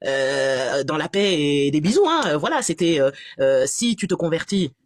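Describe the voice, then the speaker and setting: A woman from France giving an animated, exasperated and sarcastic personal testimony as a guest on a live stream. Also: low-pitched, moderate pace, dry recording, clean studio sound.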